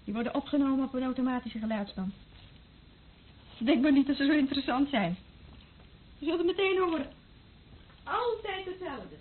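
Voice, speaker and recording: An actress playing a blasé surveillance worker in a radio drama, speaking in a dry, didactic way. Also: female voice, high voice, lively intonation, normal speed, pauses often. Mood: bored, wry. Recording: tinny old radio recording, faint hiss.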